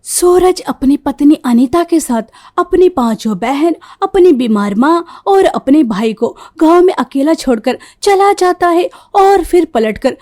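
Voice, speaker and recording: A woman's voice: sits high, expressive, unhurried, hardly any pauses, dark tone. A woman reading scripted narration as the storyteller of a moral tale.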